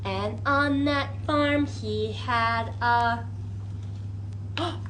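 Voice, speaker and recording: Bright, high-voiced woman leading children's storytime. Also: drawn-out words, slight background noise. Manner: animated, exaggerated wonder, coaxing questions for young children. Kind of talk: interactive children's storytime with puppets and singalong.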